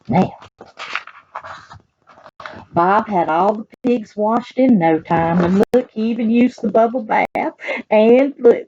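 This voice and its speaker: A woman's voice: fairly high pitch, dull audio, background noise. A woman with a Southern US accent reading a children's picture book aloud, chatting in folksy asides and putting questions to her young listeners. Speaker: library storyteller.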